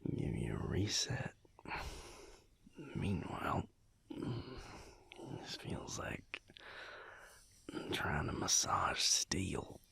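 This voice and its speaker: A man acts out a male vampire lover for an intimate audio roleplay. His voice is deep and heavily creaky, often dropping into a breathy whisper. He speaks close to the mic, tenderly teasing and soothing, leaving patient pauses. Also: wildly swooping pitch, no room sound, exaggerated voice. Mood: teasing, gentle. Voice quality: breathy, gravelly whispered murmur. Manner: slow, soothing, gently teasing, drawn-out pauses.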